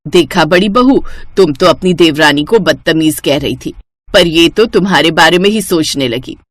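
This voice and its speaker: A woman's voice: sits low, expressive, normal speed, dry recording, voice pushed down. A woman voicing a bossy, impatient older mother-in-law who craves food in a family cartoon story. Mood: teasing.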